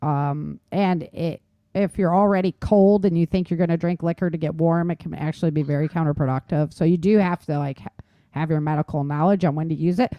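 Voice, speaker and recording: A woman's voice: deep voice, fairly even intonation, fairly quick, no room sound, lo-fi sound. Casual woman podcaster, dry close sound. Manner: informal, emphatic, laughs easily. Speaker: podcast host. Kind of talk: casual survival-tips discussion.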